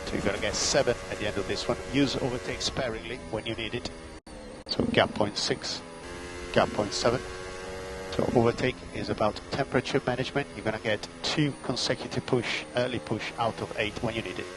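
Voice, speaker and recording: A man's voice: mid-range voice, fairly even intonation, fairly quick, noisy background. A man working as a race engineer, giving terse, clipped instructions and data over motor racing team radio. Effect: team radio transmission.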